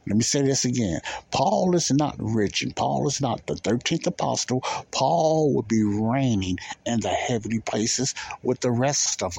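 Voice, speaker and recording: A man's voice: medium pitch, gravelly, darkish tone, breathy edge. A man giving informal, emphatic Bible teaching as a podcast host, repeating key phrases and posing rhetorical questions.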